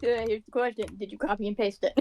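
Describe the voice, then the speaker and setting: A woman on a voice call during a game roleplay, offering quick suggestions. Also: mid-range voice, steady tone, darkish tone. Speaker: roleplay player. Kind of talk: casual chat on a voice call.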